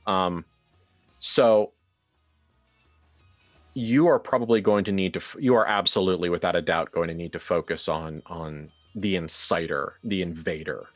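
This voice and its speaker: A man running a horror tabletop game as game master, narrating deliberately and haltingly with casual asides. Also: deep voice, lo-fi sound.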